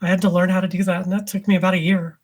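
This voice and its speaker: A woman guesting on a podcast, speaking in a flat monotone and going on at length about her passion topic. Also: on the low side, very fast. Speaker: guest arachnologist. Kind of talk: casual podcast chat.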